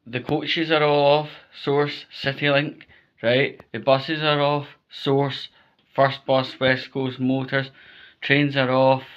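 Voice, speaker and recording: A Scottish man speaks in a slow, measured pace with a smooth, clear voice. He talks informally and emphatically to his viewers, circling back over his points, on a slightly dull, lo-fi recording. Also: mid-range voice, flat delivery. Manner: emphatic, repetitive, checks in with "right?". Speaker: vlogger giving storm safety advice. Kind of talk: informal spoken advice to viewers.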